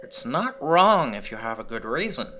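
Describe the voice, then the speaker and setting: A man voicing a magic puppet in a horror story, calm and secretive, persuading and explaining in an ominous way. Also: medium pitch, normal speed, faint music behind. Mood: insistent, certain.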